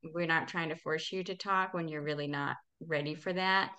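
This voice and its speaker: A woman speaking as an interview guest in casual, halting conversation, often correcting herself as she explains. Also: low voice, steady tone, pushed and bright. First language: English. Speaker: podcast guest, language club organizer.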